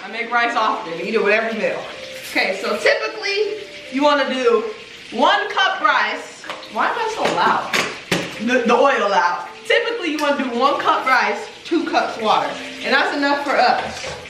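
A woman's voice: on the high side, very animated, moderate pace, very echoey. Twenties woman reading recipe steps, far from the mic, noisy kitchen. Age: 20s. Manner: reads recipe steps aloud, teasing. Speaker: vlogger. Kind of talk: casual cooking vlog chat. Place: home kitchen, indoors. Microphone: camera mic across the kitchen.

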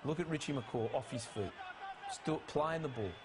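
A man analyzing rugby match footage in a studio, emphatic and pushing his pitch up to stress each call. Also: moderate pace, background noise. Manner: emphatic, rises in pitch to stress key calls.

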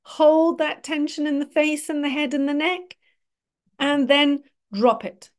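A woman yoga teacher leading a guided relaxation meditation in a gently directive, instructional way. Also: high voice, a little slow.

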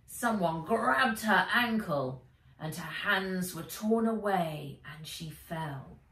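A middle-aged woman reading an adventure story for children aloud, with expressive, dramatic, emphatic delivery. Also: on the low side, slow pace, far from the mic. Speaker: author reading her own book aloud. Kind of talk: dramatic audiobook-style narration for children. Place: indoors, bright room with armchair. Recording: roomy, echoey, away from mic.

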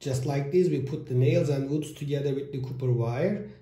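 A man in his thirties hosting a step-by-step electronics build tutorial, with halting phrasing and fillers, filmed at a table in a small room. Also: medium pitch, dark tone. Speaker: DIY electronics channel host. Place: small room with whiteboard, indoors. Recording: echoey room.

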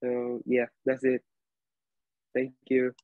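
A man's voice: mid-range voice, monotone, takes it slowly, dry recording. A young man from Vietnam with a Vietnamese accent, telling a class story over a video call, hesitant with many fillers. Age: young adult. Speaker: college student. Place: living room indoors. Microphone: laptop webcam on video call. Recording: dry, tinny video-call audio.

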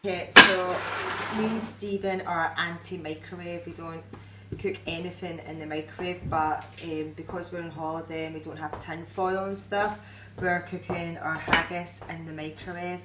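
A woman's voice: lowish voice, little pitch movement, moderate pace, heavy background noise. A woman, chatting casually into a phone held at arm's length for a holiday vlog, rambling with lots of fillers. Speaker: vlogger.

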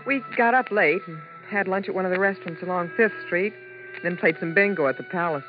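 A woman's voice: on the low side, brisk, dull audio, natural voice. An actress playing a weary Las Vegas showgirl who recounts her ordeal in a 1950s radio drama. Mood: tired, wary. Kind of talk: 1950s radio drama acting, first-person narration. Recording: band-limited 1950s radio, music behind.

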